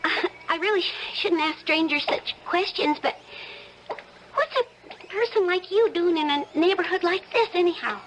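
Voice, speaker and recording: An actress playing a nervous, chatty young working-class American waitress with breathless, rambling speech in a radio drama. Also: female voice, extremely high pitch, normal speed, a bit bright, lo-fi sound, a little hiss or hum. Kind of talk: old-time radio drama acting.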